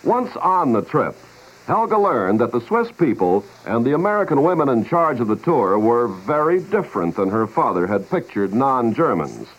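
Animated male announcer on muffled old radio. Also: mid-range voice, moderate pace. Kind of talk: 1950s scripted radio public-service narration. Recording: muffled 1950s radio broadcast.

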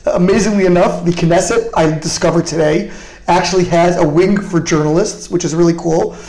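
A man hosting a podcast interview in a chatty, curious, casual way, quick to react to what he hears. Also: sits high, creaky, a little mellow, echoey room, a little hiss or hum, rough recording. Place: indoor office in a parliament building.

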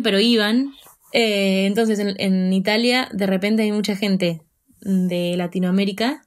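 A woman in her twenties from Argentina with an Argentine Spanish accent, casually telling her own story with fillers and laughs. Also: medium pitch. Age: 20s. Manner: chatty, self-deprecating, with fillers and laughs. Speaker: interview guest.

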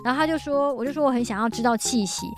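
A woman with a Taiwanese Mandarin accent hosting a casual interview, chatting in an animated way. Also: sits high, very fast, over music. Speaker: podcast host interviewing a guest. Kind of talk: casual podcast interview chat.

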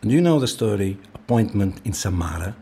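An actor playing a resigned, courteous man in an audio drama, speaking in a measured, precise way. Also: fairly low pitch, expressive, warm tone, husky, full character voice. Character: resigned, courteous man calmly fatalistic about his death.

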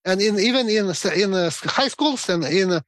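Halting Ukrainian-accented man, high voice. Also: lively intonation, a bit bright, no echo. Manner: halting, many fillers and restarts. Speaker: guest expert. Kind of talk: interview answers.

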